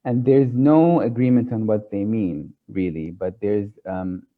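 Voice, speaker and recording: Guy with a low voice, arabic his first language, teaching slow and hesitant with lots of ums, sounds like a phone line. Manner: thoughtful, hesitant, many fillers, checks in with "okay?". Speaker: tarot teacher. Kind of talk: informal lecture.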